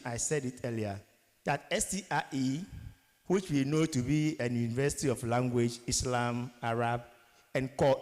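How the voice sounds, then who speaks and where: A man's voice: medium pitch, a little mellow. A man in his thirties from Ghana with a Ghanaian English accent, giving an emphatic motivational workshop lecture and throwing rhetorical questions at his audience. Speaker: guest lecturer. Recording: long hall reverb.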